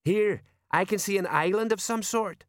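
A man voicing an eager, courteous, chatty gentleman inventor, cheerfully oblivious, in an audiobook story. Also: high-pitched, normal speed, no echo, studio quality, voice pushed up. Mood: eager, polite.

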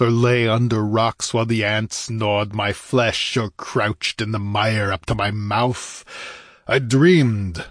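A man's voice: lowish voice, dry recording, clean studio sound. A man reading aloud, voicing a savage, vengeful male barbarian warrior who snarls and screams with fury. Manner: growling, furious, rising to screams.

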